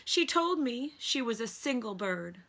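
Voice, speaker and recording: A woman's voice: fairly high pitch, very animated, measured pace, creaky, fairly bright tone, pitched above the performer's own voice, full character voice. A woman voicing a small, earnest, emotional young male mouse adventurer in a storybook. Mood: upset, insistent.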